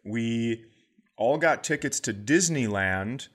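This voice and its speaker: A man hosting a podcast, telling casual comic stories in a profane, animated way. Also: mid-range voice, slow. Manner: profane, animated anecdotes with acted-out quotes. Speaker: podcast host, comedian.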